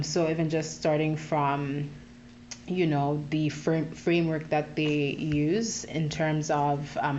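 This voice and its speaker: A woman from Zambia, a podcast guest who works with youth, talking in a thoughtful, searching way with many pauses and restarts. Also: deep voice, a little mellow, muffled recording, slight background noise. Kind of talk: casual interview talk.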